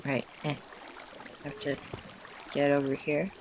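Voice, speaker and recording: A man with a hoarse, tired voice, self-consciously rambling through casual gameplay commentary with many fillers and restarts. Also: a little high, monotone, unhurried, background noise. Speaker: let's player.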